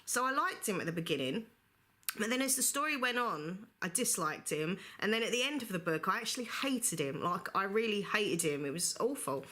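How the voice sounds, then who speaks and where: A woman's voice: mid-range voice, very animated, a little fast, pushed and bright. A woman chatting through a book review vlog, rambling with lots of fillers and getting over the flu with a slight cough. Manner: chatty, rambling, many fillers like "you know". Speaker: book vlogger. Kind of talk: casual book review monologue.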